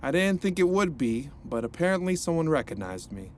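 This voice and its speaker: An earnest reformed supervillain. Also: male voice, fairly high pitch, very animated, fairly quick. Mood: reflective. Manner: gentle, earnest, encouraging. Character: earnest reformed supervillain, now a male counselor. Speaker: rehab counselor and narrator. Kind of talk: audio drama acting.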